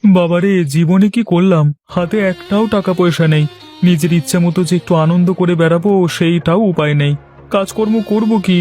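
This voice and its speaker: A poor, idle village husband from a cartoon acted by a man, with a high-pitched voice. He grumbles, brags and protests in a whining manner. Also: brisk, warm tone, soft background music, close to an everyday voice. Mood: idle grumbling. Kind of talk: Bengali cartoon dialogue dubbing.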